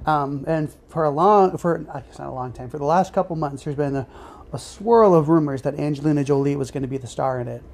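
A woman's voice: low-pitched, fairly quick, gravelly, darkish tone. A woman co-hosting a podcast in a casual, chatty style. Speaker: podcast co-host. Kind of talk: casual chat about superhero movies.

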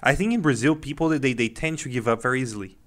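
A man's voice: mid-range voice, rapid-fire, fairly bright tone. A man interviewing a guest in a casual conversation, agreeable and often finishing the guest's sentences.

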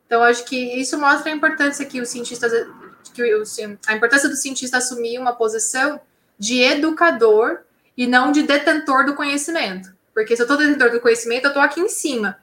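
A woman, a science communicator talking casually and animatedly in an interview, with quick slangy phrasing. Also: high voice, a bit bright, roomy sound.

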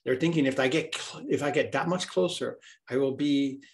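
A man's voice: mid-range voice, moderate pace. A man from Hawaii, a healer speaking as an interview guest, talking earnestly and reflectively, often repeating words as he searches for them.

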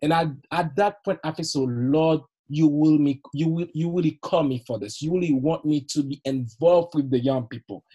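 A man's voice: fairly high pitch, moderate pace, few pauses, dark tone, slightly rough. A middle-aged man from Haiti with a Haitian accent, a youth pastor guest on a podcast, telling his story earnestly with frequent laughs and repeated words. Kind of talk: podcast interview, personal story.

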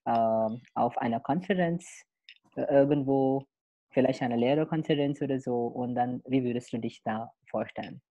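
A young man hosting a podcast, opening with a soft spoken introduction and then chatting with many fillers. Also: medium pitch, rough recording. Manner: soft, slow intro over music, then chatty and halting. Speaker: podcast host. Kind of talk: podcast interview with narrated intro.

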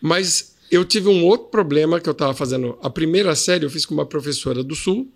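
A man in his fifties with a Pará Portuguese accent, a podcast guest telling animated stories about his childhood. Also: fairly high pitch, fairly quick, vocal fry. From Brazil. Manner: storytelling, animated anecdotes. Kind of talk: casual interview chat.

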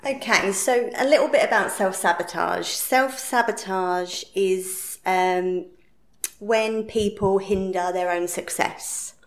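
A woman from England with an English accent, a guest coach explaining slowly and listing examples with fillers. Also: mid-range voice, echoey room. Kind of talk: explaining in casual podcast chat.

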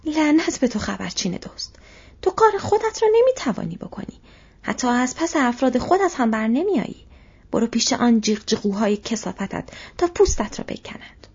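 A woman voicing an insolent, quarrelsome male orc tracker in an audiobook, scoffing and grumbling in a husky, breathy voice. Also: fairly high pitch, expressive, a little mellow, full character voice. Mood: furious, afraid. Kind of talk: audiobook character voice. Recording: dull, muffled lo-fi audio.

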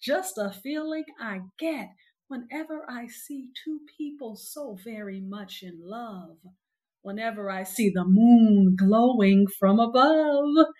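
A woman hosting a podcast, delivering scripted announcements and poetry in a deliberate, expressive way. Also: fairly high pitch, dry recording. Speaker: podcast host. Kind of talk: scripted show sign-off and poetry recitation. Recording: music bed under the voice. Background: music.

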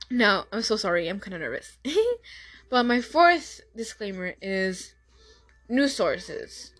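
Young woman rambling on her podcast, quick and stuttery, lots of um and like. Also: medium pitch, big pitch swings, a bit bright, faint music behind. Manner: stutters and restarts a lot, talks fast. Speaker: podcast host. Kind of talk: casual solo podcast chat.

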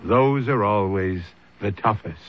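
A man hosting a radio mystery series, narrating a script with theatrical, deliberate phrasing. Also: fairly low pitch. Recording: dull old radio recording with music. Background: music.

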